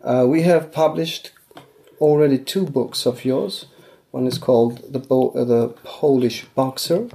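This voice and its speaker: A man with a mellow, soft-edged voice hosting a publisher's podcast. He speaks in measured, deliberate phrases and keeps responding with short acknowledgements. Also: medium pitch, creaky. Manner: measured, deliberate questions with frequent "I see". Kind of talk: interview.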